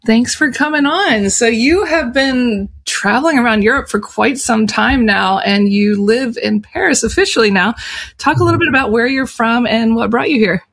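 A woman's voice: fairly high pitch, normal speed, fairly bright tone. An American woman hosting a podcast, chatting casually and laughing easily with her guest. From United States. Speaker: podcast host. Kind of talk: casual interview chat.

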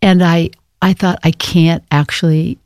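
An older woman from Maine, speaking as an interview guest, thoughtful and hesitant with many pauses. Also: fairly low pitch, little pitch movement, a little mellow, no room sound, studio quality. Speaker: guest, actress. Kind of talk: reflective interview talk.

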